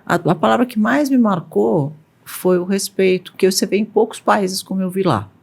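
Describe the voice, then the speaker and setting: A woman's voice: medium pitch, moderate pace, vocal fry, darkish tone, slightly rough. A middle-aged woman hosting an interview podcast, effusive and conversational. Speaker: podcast host.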